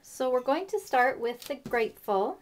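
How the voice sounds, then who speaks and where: A chatty woman hosting a craft tutorial at her work table, her voice pitched a touch high and slightly rough. She explains each step in a relaxed, friendly way with plenty of fillers. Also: normal speed. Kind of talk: casual stamping tutorial.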